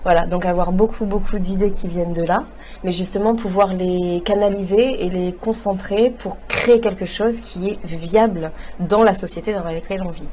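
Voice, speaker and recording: Earnest woman, low voice. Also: fairly even intonation, moderate pace, warm tone, slight background noise. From Réunion. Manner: earnest and enthusiastic, with many fillers and restarts. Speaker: guest, entrepreneur. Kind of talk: conversational interview answers.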